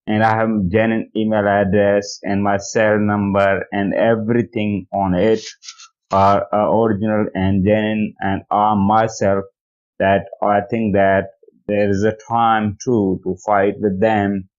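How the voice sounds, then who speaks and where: A man with a Pakistani accent giving a personal testimony in halting, repetitive English, searching for words. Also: lowish voice, flat delivery, a little mellow, smooth. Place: recorded voicemail message. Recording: dull lo-fi voice message.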